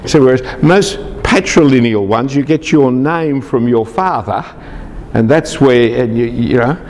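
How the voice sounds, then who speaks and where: A man's voice: medium pitch, unhurried, a little mellow, long reverb tail, slight background noise. A man from Australia, giving a lecture to a large group, chatty and teasing the audience.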